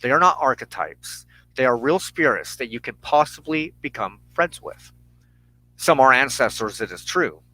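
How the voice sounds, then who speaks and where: A man hosting a religious broadcast, giving a solemn, measured sermon of personal testimony and teaching with emphatic phrasing and deliberate pauses. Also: mid-range voice, big pitch swings, pushed and bright, no room sound, poor audio.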